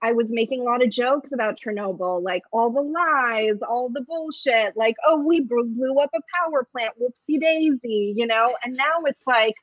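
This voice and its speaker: A woman's voice: a little high, moderate pace, few pauses, no room sound. Woman comedian in her 30s, russian is her first language, jokey and rambly, dull dry audio. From Ukraine. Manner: joking, rambling, laughs often. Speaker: comedian guest. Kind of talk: casual podcast chat.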